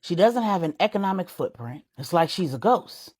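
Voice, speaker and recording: A woman voicing a calm male general in an audiobook, a loyal subordinate who explains things in a measured way. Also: lowish voice, voice pushed down. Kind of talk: audiobook character dialogue.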